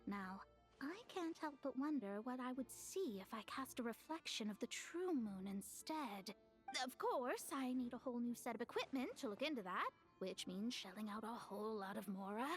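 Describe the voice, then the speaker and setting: A woman acts a sly, money-minded young fortune-teller from a video game. She is self-assured and teasing, with a high voice and haughty dramatic flair. Soft music sits behind her. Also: animated, normal speed, close to an everyday voice. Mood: smug, breezy. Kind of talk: video game character acting.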